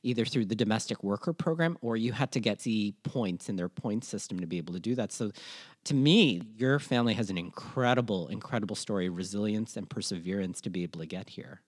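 A man's voice: lowish voice, a little fast. Filipino-born man co-hosting a podcast. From Philippines. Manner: thoughtful, asks follow-up questions. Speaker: podcast co-host. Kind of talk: conversational podcast interview. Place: remote podcast recording.

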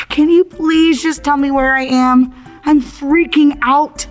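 A woman plays a confused accident victim stuck in an afterlife waiting room. The voice is high and unhurried, with sarcastic, slangy exasperation in audio drama style. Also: steady tone, faint music behind. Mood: exasperated.

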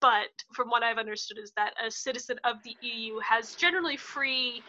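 Bright higher-pitched woman interviewer, muffled audio. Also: fairly quick. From outside the European Union. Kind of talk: casual interview chat.

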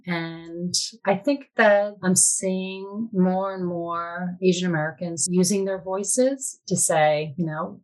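A woman's voice: fairly low pitch, poor audio. A woman giving personal testimony in an interview, speaking in an earnest, plain-spoken and encouraging way. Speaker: interviewee, adoptive mother.

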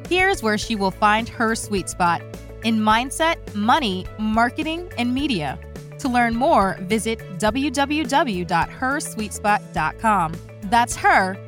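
Female announcer, slightly high voice, polished promo read, soft music underneath. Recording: voice-over with faint music bed. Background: faint music.

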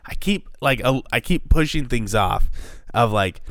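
A man co-hosting a book podcast, sick today with a gravelly voice, chatting casually and rambling with lots of fillers. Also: mid-range voice, animated, measured pace, a bit bright, no echo. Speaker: podcast co-host. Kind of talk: casual chat.

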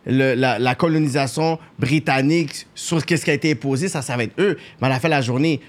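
A man's voice: medium pitch, fairly quick. A man from Quebec with a Quebec French accent, arguing his point insistently in a casual panel debate. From Canada, Quebec. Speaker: podcast panellist.

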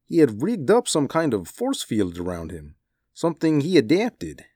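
A man's voice: medium pitch, wildly swooping pitch, normal speed, darkish tone, no echo, studio quality, voice pushed up. A man voicing a grim, weary official in an audiobook story. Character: grim, weary male official with intense temper. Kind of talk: audiobook character dialogue.

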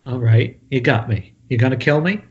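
A man's voice: mid-range voice, moderate pace, natural voice. A man playing a troubled, hard-drinking writer in an amateur screenplay table read over an internet call. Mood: resigned. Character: troubled male writer, washed-up drinker pleading with his wife. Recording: dull lo-fi Skype call.

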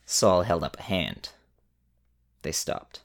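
A man narrating a scripted story in a measured way with dramatic pauses. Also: sits low, very animated, gravelly.

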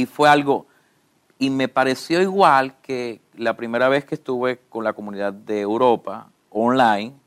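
A man, interviewing a pastor and telling stories in a lively way. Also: fairly low pitch, smooth. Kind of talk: church radio interview.

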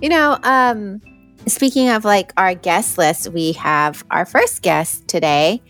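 A woman's voice: mid-range voice, slow, fairly bright tone, soft background music. A woman co-hosting a podcast, teasing lightly and laughing a lot. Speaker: podcast co-host. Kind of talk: casual chat.

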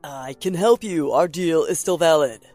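A man's voice: high-pitched, expressive, fast talker. A man playing an earnest, defensive inventor in an audio drama, argumentative and urgent. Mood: bargaining. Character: earnest, defensive male inventor pleading and bargaining. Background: music.

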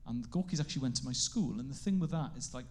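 A man's voice: medium pitch, animated, quick pace, a little mellow. A Welsh man in his forties whose first language is Welsh, a comedian guest telling stories in casual chat and laughing often. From Wales. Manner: chatty storyteller, laughs often.

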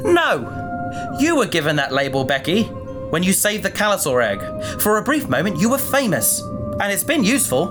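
Man as an animated, argumentative sci-fi crew mate. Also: high-pitched, moderate pace, over music. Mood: scornful lecturing. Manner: argumentative, articulate, emphatic. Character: rational, lecturing, sceptical crew mate. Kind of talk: sci-fi audio drama acting.

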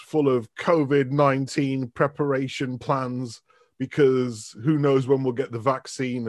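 A man's voice: medium pitch, dry recording. Chatty Mancunian man, dry sound. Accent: Mancunian with some Dundee. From Manchester, England. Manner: chatty, rambling, laughs easily. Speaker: guest, university lecturer. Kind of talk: casual interview chat.

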